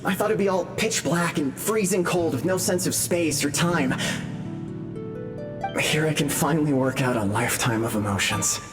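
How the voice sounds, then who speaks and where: A man's voice: fairly high pitch, normal speed, over music, close to an everyday voice. A man voicing a friendly, easygoing ghost who chats cheerfully. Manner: cheerful, chatty.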